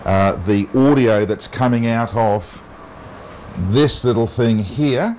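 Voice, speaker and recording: An Australian man presenting an unscripted amateur TV walk-through, halting often with ums and uhs. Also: mid-range voice, a little mellow. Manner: halting, with long um and uh pauses. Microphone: wireless mic pack. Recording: noisy amateur TV transmission audio.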